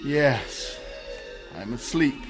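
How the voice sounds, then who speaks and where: A man's voice: mid-range voice, animated, drawn-out words, over music. An actor playing an anxious, gullible wealthy man in a melodramatic radio mystery. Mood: entranced, sleepy. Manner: fretful, melodramatic delivery. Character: anxious, gullible wealthy male diamond collector in therapy. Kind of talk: radio mystery drama acting.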